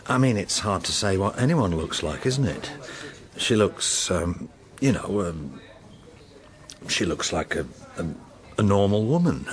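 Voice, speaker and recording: A middle-aged husband in a radio drama, evasive and oblivious. His lowish voice halts and hedges, taking everything literally with a bemused deadpan. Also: measured pace. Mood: evasive, flustered.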